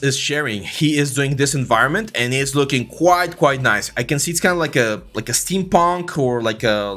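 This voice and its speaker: A man in his thirties with a Mexican accent, hosting a casual livestream tutorial on 3D modeling, reading chat questions and answering them in a relaxed way, with lots of "uh" and "like" and frequent restarts. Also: mid-range voice. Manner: relaxed, filler-heavy, many restarts. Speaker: 3D art instructor and livestream host.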